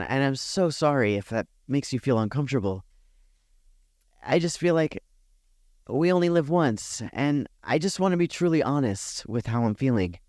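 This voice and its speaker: A man playing a tender, nervous best friend confessing a crush, speaking softly, hesitantly and earnestly in an intimate ASMR roleplay. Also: mid-range voice, no echo, studio quality, lightly acted. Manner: soft-spoken, hesitant, earnest.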